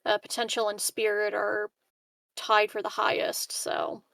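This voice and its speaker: A female tabletop player talks through strategy casually. Her mid-pitched voice has noticeable vocal fry. The recording is dry and free of room echo. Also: monotone, a little slow, fairly bright tone. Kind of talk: casual game strategy discussion.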